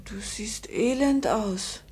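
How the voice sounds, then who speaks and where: An actress playing a worried, devoted middle-aged wife in a 1950 radio drama, gently coaxing and soothing. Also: female voice, mid-range voice, slow pace. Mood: soothing, concerned. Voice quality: husky, breathy.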